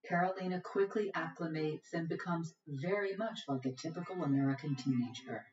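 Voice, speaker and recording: A woman reading scripted documentary narration in a measured, even way. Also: deep voice. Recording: roomy, distant, dull audio with music.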